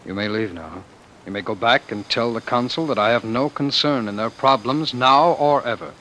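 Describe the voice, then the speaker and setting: An actor playing a meek, dreamy husband who imagines himself a great leader, in earnest, dramatic old-time radio style. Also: male voice, mid-range voice, normal speed, fairly bright tone, a little hiss or hum, lightly acted, low-quality recording. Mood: haughty. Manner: earnest, dramatic, brooding, hesitant. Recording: vintage radio broadcast with music behind.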